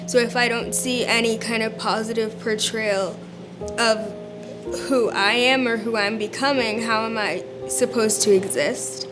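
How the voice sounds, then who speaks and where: Higher-pitched young American woman, earnest. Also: steady tone, a little slow. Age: young adult. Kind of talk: earnest personal testimony. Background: music.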